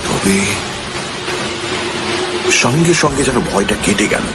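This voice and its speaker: A man's voice: medium pitch, animated, slow pace. A man narrating a ghost story in the first person, in a suspenseful, theatrical manner. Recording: loud music under the voice. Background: loud music.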